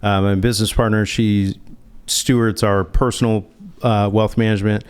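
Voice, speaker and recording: A man's voice: fairly low pitch, little pitch movement, normal speed, clear, resonant tone. A man speaking as a financial advisor in a conversational interview, explaining step by step and posing rhetorical questions.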